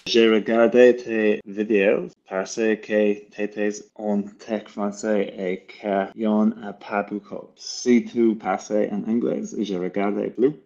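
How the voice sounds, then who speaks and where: A man doing a gravelly grumbling viewer. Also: on the low side, flat delivery, slow pace, hardly any pauses, darkish tone, highs cut off, pitched below the performer's own voice. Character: grumbling male viewer, blunt and demanding.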